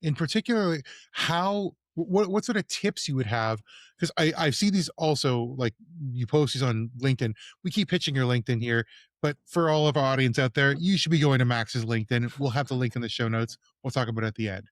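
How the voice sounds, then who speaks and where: A man's voice: mid-range voice, brisk, dry recording. A man from Canada hosting a casual podcast interview, chatty and hesitant and stumbling over his words as he asks long questions. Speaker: podcast host.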